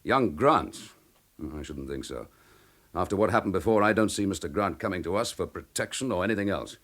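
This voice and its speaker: A very animated, low-pitched police inspector in a radio crime drama. He is gruff, intense and impatient, driving his lines with clipped determination. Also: a little fast. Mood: brisk, interested.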